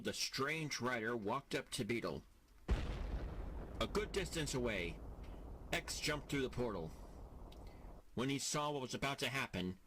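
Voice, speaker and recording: A man narrating an audio drama from a script in a deliberate, dramatic storytelling manner. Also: medium pitch, background noise.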